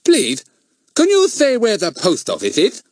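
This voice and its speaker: Man doing a high lisping buck-toothed fellow. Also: huge pitch swings, unhurried, no room sound, clean studio sound, heavily acted. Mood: polite, comic.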